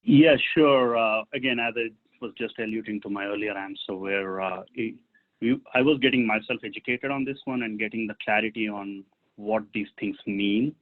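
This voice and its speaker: A man speaking off the cuff as a business webinar panelist, piecing his phrases together haltingly. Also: on the low side. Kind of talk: unscripted business panel talk. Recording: narrow tinny phone-like line.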